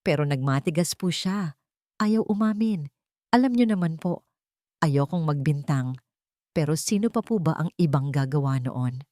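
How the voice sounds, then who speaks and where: A woman's voice: low-pitched, lively intonation, normal speed, pauses often, dark tone, dry recording, studio quality. A woman with a Filipino accent voicing a scheming housemaid who acts distressed and deferential in a dramatic story. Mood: falsely reluctant. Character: scheming housemaid, falsely tearful and deferential to her mistress.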